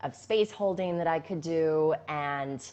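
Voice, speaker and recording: A young adult woman with a low-pitched voice speaks in a loose, flowing way. She talks about her coaching work with lots of fillers and restarts, sounding effusive. She is recorded on a phone during a live video chat. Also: a little slow, a little mellow, muffled recording. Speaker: intimacy coach guest. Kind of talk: casual live-stream conversation. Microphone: phone on a stand.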